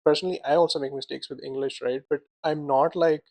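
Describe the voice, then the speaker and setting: A man's voice: fairly high pitch, fast talker, no room sound. Chatty young man, lots of "like" and "right?". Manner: animated, laughs often, many fillers like "like" and "right?". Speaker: podcast co-host. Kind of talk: casual chat.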